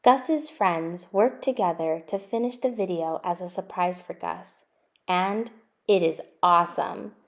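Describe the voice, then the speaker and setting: A woman narrating a picture book aloud for children in an expressive storytime style. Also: on the low side, measured pace. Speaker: storytime host and reader.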